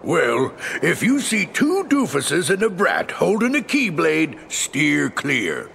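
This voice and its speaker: A big cartoon bully of a cat, gravelly and pitched high for a male voice. He blusters and schemes in a folksy slangy drawl that swings wildly up and down, with music underneath. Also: huge pitch swings, slow, a bit bright, slight background noise, exaggerated voice. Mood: blustering warning. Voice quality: gravelly, gruff. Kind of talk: animated video game voice acting.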